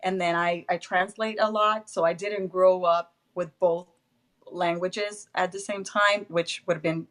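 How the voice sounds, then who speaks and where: A woman's voice: on the low side, steady tone, measured pace, fairly bright tone. Spanish-accented woman, laughing guest. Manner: laughs often, restarts, searches for English words. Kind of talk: casual interview talk.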